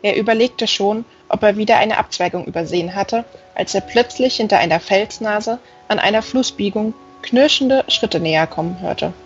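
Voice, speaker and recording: A woman narrates a fantasy novel in at a medium pitch. The recording is a little dull, and music plays beneath her reading. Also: moderate pace, hardly any pauses. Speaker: audiobook reader.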